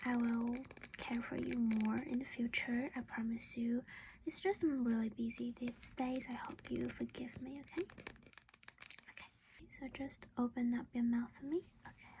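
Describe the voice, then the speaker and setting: A woman playing a doting vampire mother in an ASMR roleplay, speaking in a breathy whisper, gentle and coaxing as if to a child, close to the microphone. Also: high voice, noisy background. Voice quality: breathy whisper with soft creaky voiced patches.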